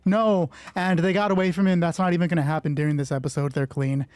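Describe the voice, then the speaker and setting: A man with a husky voice narrates a solo tabletop game casually, thinking aloud and swearing freely as he talks himself through rulings. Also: a little high, fairly even intonation, quick pace, a little mellow. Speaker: solo roleplay player and narrator.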